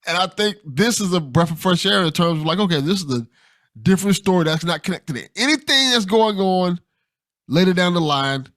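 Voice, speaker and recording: A man's voice: sits high, expressive, a little fast, fairly bright tone. A man hosting a podcast, talking in a casual, conversational style. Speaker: podcast host.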